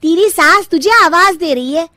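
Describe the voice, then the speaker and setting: A woman's voice: very high voice, normal speed, pushed and bright, no room sound, clean studio sound. A woman playing a young gossipy female friend in a cartoon story. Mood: advising.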